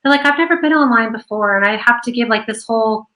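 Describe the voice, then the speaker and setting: Woman, show host, high bright voice, chatty, laughs a lot. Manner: chatty, quick, laughs often. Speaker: host of an online radio show. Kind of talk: casual chat.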